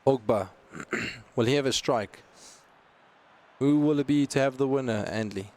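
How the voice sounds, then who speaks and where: A man commentating on an esports football match as co-host, with lively play-by-play calls. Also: mid-range voice, measured pace. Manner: lively, rising excitement at chances.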